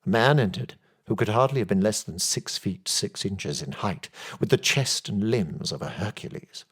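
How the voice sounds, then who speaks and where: A man's voice: lowish voice, animated, moderate pace, breathy edge. A man reading literary fiction aloud as a scripted audiobook narration. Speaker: audiobook reader.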